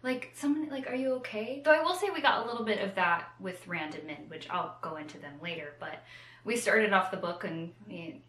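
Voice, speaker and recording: Bright-voiced woman chatting casually, roomy echo. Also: mid-range voice, brisk. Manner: animated, self-deprecating, rambling asides with acted-out quotes. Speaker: co-host of a book discussion show. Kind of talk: casual unscripted book chat.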